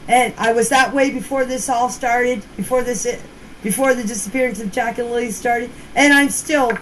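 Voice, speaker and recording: Higher-voiced woman in noisy voice-message clips. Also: moderate pace. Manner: plain-spoken, rambling, folksy phrasing. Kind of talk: casual personal account in recorded voice messages.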